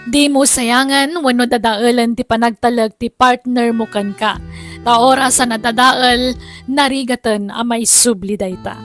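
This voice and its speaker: A woman's voice: fairly high pitch, fairly even intonation, a little slow. A woman with an Ilocano Filipino accent reading a scripted introduction as announcer of a drama programme. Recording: music bed under the voice. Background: music.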